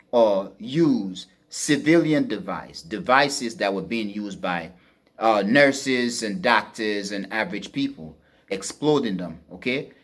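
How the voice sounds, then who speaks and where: A man delivering a semi-improvised geopolitical commentary as a podcast host, emphatic and repeating phrases for stress. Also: fairly low pitch.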